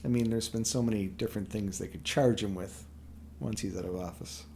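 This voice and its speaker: Very low-voiced, creaky woman. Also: fairly quick, a little mellow, a little hiss or hum. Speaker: podcast co-host. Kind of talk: casual chat between a couple. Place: at home in self-isolation.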